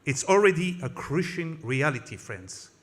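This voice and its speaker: A man's voice: a little high, very animated, moderate pace, fairly bright tone. French-accented man giving a fiery speech. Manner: impassioned, rhetorical, with frequent slips and restarts. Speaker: conference speaker. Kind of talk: political speech.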